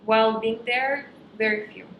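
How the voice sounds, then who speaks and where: A woman's voice: fairly high pitch, steady tone, normal speed, dull audio. A young woman from Kazakhstan whose first language is Russian, chatting casually as a guest and searching for words with frequent ums. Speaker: stand-up comedian, guest. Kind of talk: casual interview chat. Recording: echoey room with background noise.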